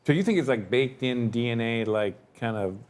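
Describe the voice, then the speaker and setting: A man moderating audience questions at a talk, brisk and informal. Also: mid-range voice, lively intonation, a little mellow.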